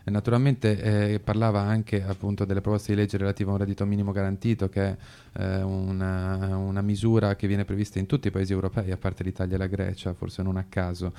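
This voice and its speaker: Low, creaky-voiced man, halting commentary. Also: completely flat delivery, warm tone. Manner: halting, hesitant, searching for words. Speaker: radio commentator. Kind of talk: political commentary, semi-improvised.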